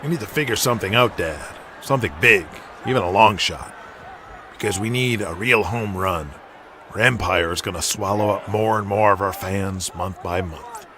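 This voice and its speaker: An actor playing a worried, frank wrestler son of a promoter in an audio drama. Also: male voice, fairly low pitch, normal speed, pushed and bright. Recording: noisy arena ambience behind voice. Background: arena ambience.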